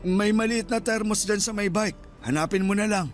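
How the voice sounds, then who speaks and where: Actor as a high-voiced old Filipino street vendor. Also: male voice, normal speed, soft background music, lightly acted. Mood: kindly, casual. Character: small, thin, shy old husband, night street vendor. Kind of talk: radio drama dialogue.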